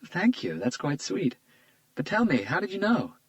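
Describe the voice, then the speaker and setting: A man voicing a playful young woman, high and bright. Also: wildly swooping pitch, normal speed, no room sound, lo-fi sound. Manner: playful, teasing.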